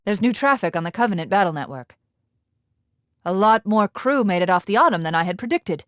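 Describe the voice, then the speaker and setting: A woman acts out a female military AI from a science fiction video game. She is brisk and sharp-witted, guiding a soldier with articulate, purposeful instructions. The sound is very dry, at a medium pitch. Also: lively intonation, no room sound, lightly acted. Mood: focused, businesslike. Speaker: AI companion giving tactical guidance. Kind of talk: scripted video game voice acting.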